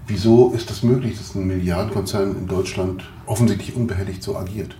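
A man asks interview questions in a lowish, dark and mellow voice, a little halting. He is recorded on location in an echoey room with faint background noise. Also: steady tone. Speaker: interviewer, journalist. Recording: roomy, noisy on-location interview.

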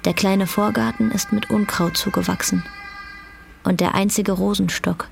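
A woman's voice: medium pitch, steady tone, moderate pace, vocal fry. A woman narrating a German radio drama from a script.

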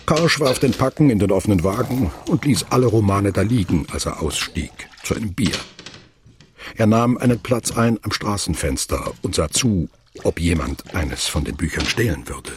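An actor playing a sober, detached narrator, reading scripted literary prose in a radio play. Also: male voice, animated, normal speed, background noise, natural voice. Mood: dry, observant. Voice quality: very husky, low.

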